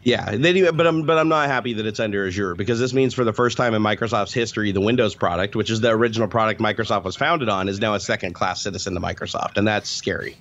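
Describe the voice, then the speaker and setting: A man's voice: on the low side, quick pace, hardly any pauses, a bit bright. A man co-hosting a casual chat over a video call, talking in a profane, rambling way. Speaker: podcast co-host, former software tester. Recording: dull lo-fi call audio.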